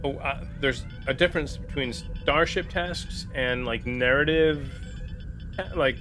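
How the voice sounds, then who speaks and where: A man's voice: medium pitch, steady tone, unhurried, over music. A man taking part in a tabletop role-playing game, casually talking through the options as a player. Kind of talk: casual out-of-character game discussion. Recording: faint background noise.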